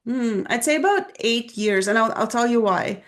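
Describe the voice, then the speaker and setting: A woman from Belarus with a Belarusian accent, a native Russian speaker, calmly explaining her views step by step as a podcast guest. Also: fairly high pitch. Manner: measured, explanatory, lays out points step by step. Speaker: guest, business strategist. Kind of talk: podcast interview conversation.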